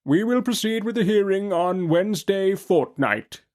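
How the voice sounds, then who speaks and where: A man voicing a grand, deliberate elderly judge in an audiobook, with drawn-out, over-distinct and hesitant delivery. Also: sits high, lively intonation, darkish tone, voice pushed up. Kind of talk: audiobook character acting.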